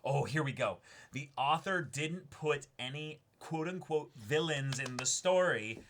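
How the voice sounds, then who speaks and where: A man's voice: on the high side, lively intonation, a little slow, fairly bright tone, slight background noise. A young man casually discussing and reading aloud as a co-host, speaking emphatically and swearing freely. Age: young adult. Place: small indoor studio with backdrop.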